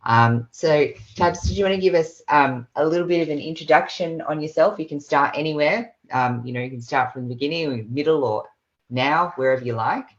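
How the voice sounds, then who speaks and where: A woman's voice: low-pitched, fairly quick. Woman, podcast host, rambling, filler-heavy, video call, muffled lo-fi sound. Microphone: video call mic.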